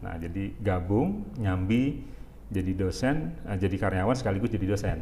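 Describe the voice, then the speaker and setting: Middle-aged Jakarta man, fairly low voice, unhurried and halting, faint hiss behind. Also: big pitch swings, warm tone. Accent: Jakarta Indonesian. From Jakarta, Indonesia. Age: 40s. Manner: unhurried, halting, many fillers. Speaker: university rector, guest. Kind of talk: casual interview talk.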